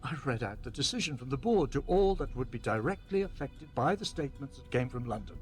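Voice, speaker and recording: A man's voice: medium pitch, lively intonation, brisk, faint music behind, natural voice. A man playing an earnest, placating department manager in a radio play, justifying himself. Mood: defensive, earnest. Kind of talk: radio play monologue acting.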